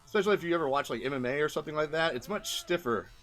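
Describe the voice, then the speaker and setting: A man's voice: on the high side, lively intonation, racing, fairly bright tone, faint music behind. A man giving casual, off-the-cuff commentary over video clips in a rambling, colloquial way, often checking in with "right?". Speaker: indie game developer, video host.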